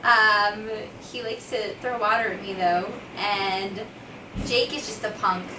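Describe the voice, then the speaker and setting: A woman's voice: mid-range voice, steady tone, slow. A young American woman co-hosting a casual, playful chat, teasing and giggling as she answers questions in a small storeroom. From United States. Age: young adult. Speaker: co-host. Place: small cluttered storeroom indoors. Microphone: far camera mic. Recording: echoey room, distant mic, faint hiss.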